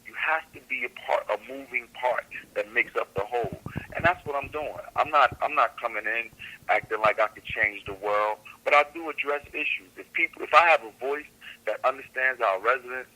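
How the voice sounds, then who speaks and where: A man's voice: mid-range voice, fairly quick, pushed and bright, poor audio. A man, a council candidate guest on a radio show, speaking earnestly and repeating phrases for emphasis. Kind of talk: radio interview answer.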